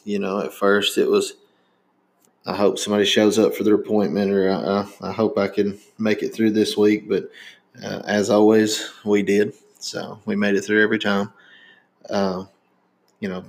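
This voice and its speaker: Gravelly low Southern man. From Indiana, US. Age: 30s. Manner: rambling, many fillers and restarts. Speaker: podcast host. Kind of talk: casual chat.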